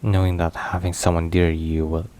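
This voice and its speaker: A man playing a brooding but tender mafia boss boyfriend in an intimate ASMR roleplay, murmuring haltingly with hushed pauses. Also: sits low, flat delivery, natural voice. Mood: touched, awkward. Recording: rain and thunder behind voice. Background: rain and thunderstorm ambience.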